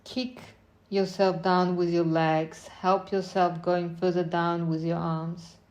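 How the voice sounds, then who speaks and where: Slow-paced woman guiding a meditation. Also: fairly low pitch, little pitch movement. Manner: unhurried short phrases with long pauses, gentle instructing. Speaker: meditation guide. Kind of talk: guided meditation, slow spoken visualization.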